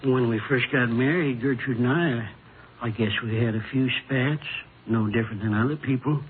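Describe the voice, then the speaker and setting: An actor playing a bereaved, defensive husband in a vintage radio drama, hesitant and wounded in a plain-folks way. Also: male voice, medium pitch, normal speed, close to an everyday voice. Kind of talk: 1950s radio drama acting. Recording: muffled, noisy old-time radio recording.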